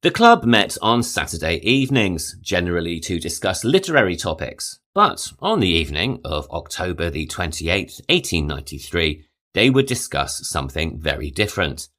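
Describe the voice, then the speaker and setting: A man hosting a podcast, reading a scripted history with measured delivery and quoted passages. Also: sits low. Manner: reads quotations aloud, measured and lively. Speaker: podcast host. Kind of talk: scripted narration.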